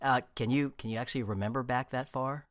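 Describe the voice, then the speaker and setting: A man's voice: medium pitch, steady tone, quick pace, no echo. Upbeat male podcast host, dry sound. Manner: upbeat and curious, laughs easily. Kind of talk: interview hosting.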